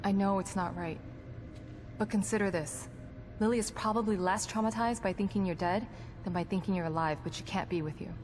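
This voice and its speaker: An actress playing a composed, guarded psychologist in a video game, speaking in precise, formal clinical terms. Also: female voice, mid-range voice, brisk, background noise, lightly acted. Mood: calm, frank. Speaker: psychologist character in a horror game. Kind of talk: video game cutscene acting. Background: background music.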